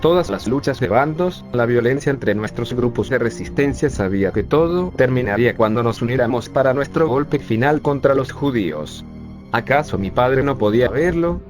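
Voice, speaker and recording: A man's voice: medium pitch, expressive, normal speed, close to an everyday voice. A synthetic male voice voicing a professor who bitterly recalls his days as a young refugee. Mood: defiant. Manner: bitter, sarcastic storytelling. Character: urban planning professor recalling his youth. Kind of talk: scripted narration. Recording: music bed underneath.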